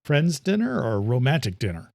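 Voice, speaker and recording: A man's voice: medium pitch, big pitch swings, normal speed, gravelly, darkish tone, dry recording. Man acting a hearty, fatherly veteran cop. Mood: teasing, encouraging. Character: fatherly veteran policeman, hearty, proud, gently prodding.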